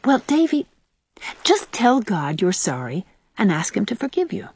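A woman voicing a patient, gentle young woman who softly soothes and admonishes a child in a storybook. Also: mid-range voice, huge pitch swings, unhurried, slightly rough, no room sound, pitched above the performer's own voice, full character voice. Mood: tender admonishing. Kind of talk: audiobook character voice.

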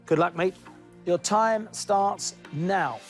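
A man presenting a television quiz, his voice sitting notably high for a man. He keeps things moving with playful jokes and teasing asides. Faint music plays behind him in the studio. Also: takes it slowly. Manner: jokey, teasing banter. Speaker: quiz show host. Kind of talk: upbeat game show presenting.

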